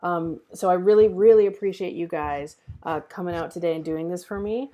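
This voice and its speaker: A woman's voice: on the low side, fairly quick, warm tone, dull audio. A woman hosting an online script table read, chatting casually in an appreciative, encouraging way. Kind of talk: casual feedback chat and stream sign-off.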